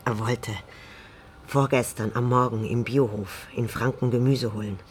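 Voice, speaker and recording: A woman playing a gruff, weary tavern landlady in a German radio drama, curt, dry and guarded. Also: a bass voice, fairly even intonation, measured pace, slight background noise.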